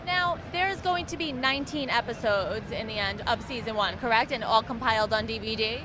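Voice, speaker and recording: High-voiced woman interviewer, noisy street. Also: a little fast. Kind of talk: casual interview questions. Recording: noisy outdoor urban recording, traffic hum.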